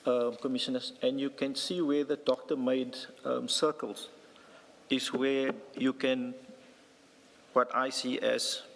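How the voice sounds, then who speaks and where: Creaky-voiced man testifying in a reverberant hall. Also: medium pitch, flat delivery. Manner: deferential, halting, addresses the commissioner. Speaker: witness testifying at a commission. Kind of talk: formal testimony. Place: large hearing room.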